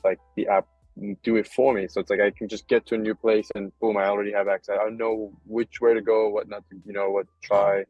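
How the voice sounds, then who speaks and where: A man's voice: on the low side, level tone, fast talker, no echo, lo-fi sound, soft background music. A man from South Africa hosting an online startup meetup, upbeat and fond of saying how cool things are. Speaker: meetup host.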